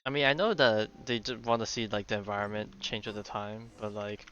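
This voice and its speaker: A man's voice: lowish voice, fairly even intonation, a little fast, sharp tone, a little hiss or hum. A man giving casual, offhand and rambling commentary while livestreaming a game. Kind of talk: casual live gameplay commentary. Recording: slightly dull sound, faint game music.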